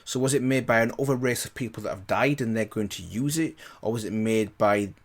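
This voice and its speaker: Male reaction host, slightly low pitch, casual commentary, thinking aloud, repetitive phrasing. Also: a little fast. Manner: thinks aloud, repeats himself.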